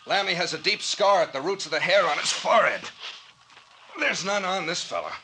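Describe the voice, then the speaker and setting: An actor with an American accent playing a cool, commanding captain in an old-time radio mystery serial, matter-of-fact in manner. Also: male voice, sits high, animated, fairly quick. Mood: deliberate, reasoning. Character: cool, commanding male police-style captain, the mayor's son. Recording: faintly noisy old-time radio recording.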